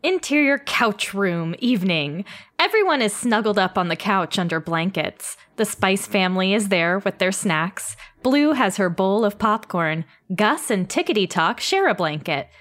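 A woman with a medium-pitched voice reads out scene directions for a comedic table read and then chats brightly with her co-hosts. Her manner is bubbly and enthusiastic. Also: big pitch swings, unhurried. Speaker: writer and co-host. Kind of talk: reading stage directions, then podcast chat.

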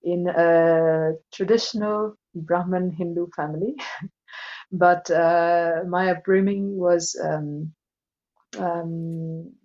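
A woman with an Indian accent speaks as a podcast guest in a lowish voice. She takes her time, explaining in a reflective, measured manner. The audio is a little dull and lo-fi. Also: warm tone. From Assam, northeast India. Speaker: interview guest, yoga teacher. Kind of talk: thoughtful podcast conversation.

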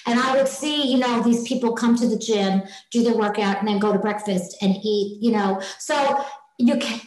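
A woman's voice: medium pitch, darkish tone, echoey room, a little hiss or hum, poor audio. A woman in her sixties hosting a casual interview, talking with effusive enthusiasm. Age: 60s. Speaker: podcast host.

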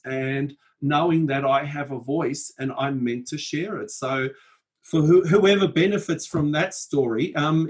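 A man hosting a casual podcast conversation from his desk at home, talking in a reflective, measured way with frequent ums. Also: on the high side, slightly rough. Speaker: podcast host. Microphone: desk setup at home.

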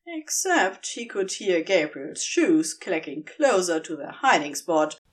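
A woman narrates a story for audio. Her reading is slow and measured, yet expressive and dramatic, with lively movement in pitch. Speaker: audio fiction reader.

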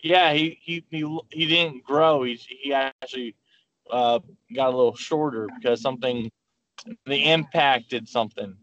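A man's voice: medium pitch, measured pace, fairly bright tone. A man co-hosting a casual podcast chat, telling stories in a rambling way with many restarts. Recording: muffled lo-fi call audio.

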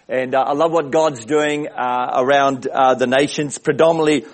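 A man with a big booming voice, preaching a sermon as a visiting speaker in a church, with emphatic repetition. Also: fairly even intonation, normal speed. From Australia. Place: church service.

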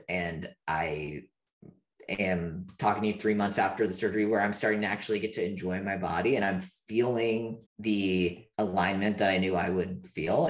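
A man in his thirties, an interview guest speaking candidly and openly, with lots of casual fillers. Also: fairly low pitch. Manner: open, animated, frequent "like" fillers. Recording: echoey room.

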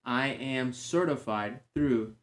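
A man's voice: medium pitch, slow. A man hosting a fitness podcast, giving encouraging advice in a chatty way with joking tangents. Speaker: certified fitness trainer. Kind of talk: casual solo podcast talk. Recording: roomy echo.

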